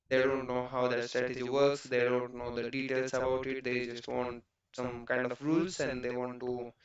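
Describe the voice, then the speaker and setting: Gravelly Indian man, roomy lo-fi sound. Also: medium pitch. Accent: Indian English. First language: not English. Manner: halting, many fillers and restarts. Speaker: trading streamer. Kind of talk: casual talk while studying charts. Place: home.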